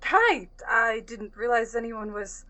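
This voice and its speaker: A woman's voice: on the high side, lively intonation, slow pace, bright, forceful tone, full character voice. A woman playing a breezy but uneasy young woman in an audio drama, casual and hesitant. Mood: awkward, breezy. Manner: hesitant, casual, filler-laden. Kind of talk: naturalistic audio drama acting.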